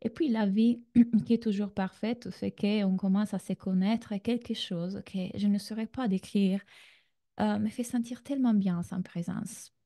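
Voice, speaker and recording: A woman with an Italian accent and a very mellow, dark-toned voice at a medium pitch. She talks like a coach leading an online class, conversationally, turning to her listeners with direct questions. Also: fairly even intonation, measured pace. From Italy. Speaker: relationship coach, masterclass host. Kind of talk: conversational online masterclass talk.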